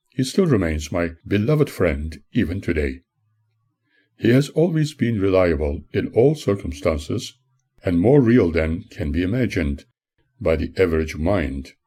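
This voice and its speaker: An older man, an author, reads his own memoir as scripted audiobook narration in a formal, measured manner. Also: sits low, mellow, husky.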